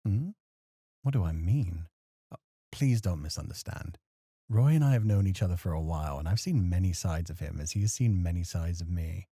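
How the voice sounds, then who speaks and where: Man playing a deep-voiced, teasing professor host. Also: lively intonation, moderate pace, breathy edge, no room sound, clean studio sound, heavily acted. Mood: fond, gracious. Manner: teasing, playful, softly courteous. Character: teasing, gracious male professor moonlighting as club host. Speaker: voice actor. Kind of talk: flirty ASMR roleplay.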